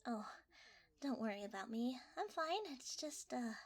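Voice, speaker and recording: Woman acting a flustered, breathy, high-voiced delivery worker. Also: very animated, unhurried. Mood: winded, helpful. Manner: hesitant, stammering, breathy. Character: flustered, winded young blanket delivery worker, chatty and shifty. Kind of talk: ASMR roleplay acting.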